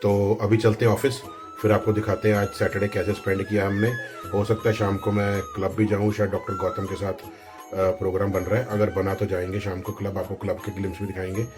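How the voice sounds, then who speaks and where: A man's voice: on the low side, level tone, fairly quick. Chatty man vlogging with a sore throat. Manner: chatty, addresses viewers directly. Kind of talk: casual daily vlog narration. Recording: music playing loudly behind.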